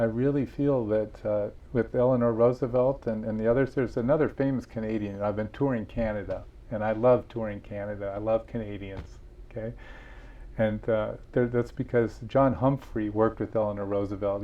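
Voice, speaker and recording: A man's voice: on the low side, dull audio. A man being interviewed about his book, telling stories earnestly with frequent restarts. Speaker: author and guest. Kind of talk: interview conversation.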